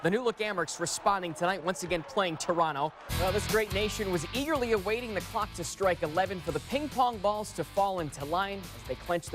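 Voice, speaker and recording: A man narrating sports stories as a broadcast reporter, with punchy, dramatic phrasing. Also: sits high.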